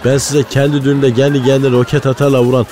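A man's voice: medium pitch, level tone, fast, noisy background, lightly acted. A man playing a pious, conspiracy-minded provincial economy guru in radio comedy, earnest and rambling, with a Malatya accent from eastern Anatolia. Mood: deadpan storytelling. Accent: Malatya, eastern Anatolian Turkish. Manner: folksy, earnest, rambling anecdotes. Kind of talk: comic radio character monologue. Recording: studio voice over background music.

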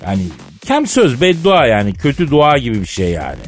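Male radio co-host, lively swooping intonation, colloquial teasing banter, faint music underneath. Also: medium pitch, fairly quick. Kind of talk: casual comic banter. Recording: music bed behind the voice.

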